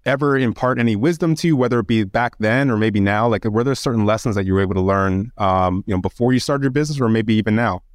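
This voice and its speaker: Lowish-voiced male podcast host, dry sound. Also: fast talker, few pauses, dry recording. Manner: friendly, asks long questions with "like" fillers. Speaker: interview host. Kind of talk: podcast interview.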